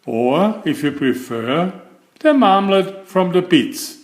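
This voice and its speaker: Slow-paced man reading a storybook, roomy echo. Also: high-pitched, big pitch swings. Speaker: storybook narrator. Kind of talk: children's storybook read-aloud. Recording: roomy, echoing sound.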